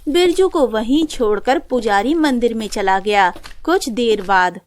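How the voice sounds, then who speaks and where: A woman reads a scripted animated story as its narrator. Her voice is a little higher than most women's. She tells the tale in storyteller fashion. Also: a little slow.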